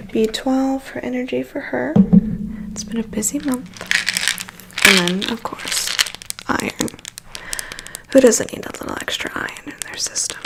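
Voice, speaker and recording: A woman's voice: high-pitched, low-quality recording. Woman playing a whispering, gossipy vampire's assistant. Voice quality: soft, breathy whisper. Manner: confiding, gossipy, unhurried. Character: chatty, gossipy, weary female assistant to a vampire. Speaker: ASMR performer. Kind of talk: ASMR personal attention roleplay.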